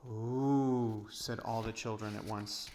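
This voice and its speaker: A man's voice: mid-range voice, normal speed, creaky, slight background noise. A man narrating a storybook aloud as a read-aloud reader.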